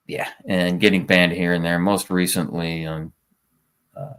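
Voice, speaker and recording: Slow, low-voiced laconic man. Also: level tone, fairly bright tone, clean tone. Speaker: show guest. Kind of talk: casual chat.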